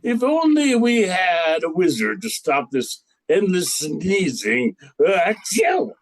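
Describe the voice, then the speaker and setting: A man's voice: sits high, sing-song, slow, heavily acted. A man playing a fussy, pompous king in a comic radio play, hesitant and blustering. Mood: flustered.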